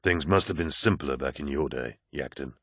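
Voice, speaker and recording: A man voicing a weary young male warrior captain who speaks quietly in a low, resigned way. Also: moderate pace, dry recording, clean studio sound. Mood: subdued.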